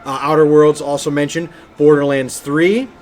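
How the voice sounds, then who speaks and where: High-voiced man chatting on a gaming podcast. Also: level tone, normal speed, a little mellow, slight background noise. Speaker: podcast co-host. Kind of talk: casual gaming podcast chat.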